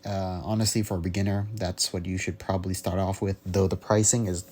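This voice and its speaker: Deep-voiced young man, halting and rambling. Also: flat delivery, darkish tone, slight background noise. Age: young adult. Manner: halting, rambling, searching for words. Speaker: hobbyist vlogger. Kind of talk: casual unscripted audio log.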